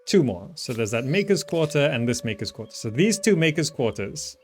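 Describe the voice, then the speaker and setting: A man's voice: medium pitch, sing-song, brisk, soft background music. A man giving casual gameplay commentary, thinking aloud as he plays. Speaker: gaming video host.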